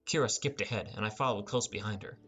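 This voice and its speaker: Narrator wanted: man, low register, scripted first-person fantasy storytelling, music behind. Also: fast talker. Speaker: audiobook narrator.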